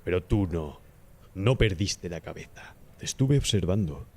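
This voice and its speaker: A man voicing a worldly young doctor, a smooth and approving accomplice with an assured, patronizing manner, in an audiobook. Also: deep voice, expressive, unhurried, full character voice. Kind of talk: audiobook character acting.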